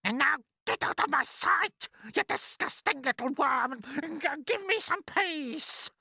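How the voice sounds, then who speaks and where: A very high, screeching storybook aunt. She is vain and cruel, snapping and scolding in drawn-out, swooping words. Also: animated, gravelly, bright, forceful tone, no room sound, clean studio sound, heavily acted. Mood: furious. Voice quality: shrill, screechy.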